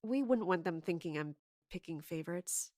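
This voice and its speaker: A woman playing a scheming, authoritative commander in an audio drama, speaking in a measured way. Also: on the low side, animated, a little mellow, no echo, clean studio sound, close to an everyday voice. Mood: cool, commanding. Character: scheming, commanding woman in charge of palace guards. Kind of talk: audio drama acting with first-person narration.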